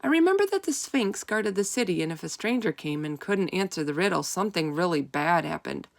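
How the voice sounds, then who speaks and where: A woman's voice: on the low side, animated, a little fast, gravelly, no room sound. A woman voicing an eager, keen young schoolboy in a children's storybook, with simple childlike delivery. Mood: earnest.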